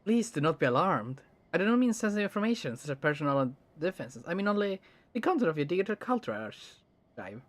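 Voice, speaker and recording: A streamer reading the lines of a polite, awkward male alien who speaks in formal, halting phrases. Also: medium pitch, sing-song. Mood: earnest. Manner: stiff, overly formal phrasing with hesitant restarts. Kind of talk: reading game dialogue aloud during a let's play.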